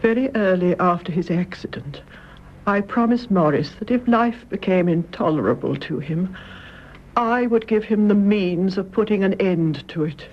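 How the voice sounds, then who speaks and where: An actress playing a grave, loving elderly British mother in a radio drama, speaking in a measured, gentle, solemn way. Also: medium pitch, close to an everyday voice. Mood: solemn, confessing. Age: older. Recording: muffled radio recording, clear background noise.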